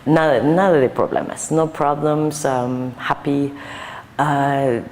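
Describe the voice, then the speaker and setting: An older American woman with a native English accent, answering interview questions in halting Spanish mixed with English. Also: deep voice, steady tone, a little mellow. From United States. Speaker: guest artist. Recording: long reverb, faint noise.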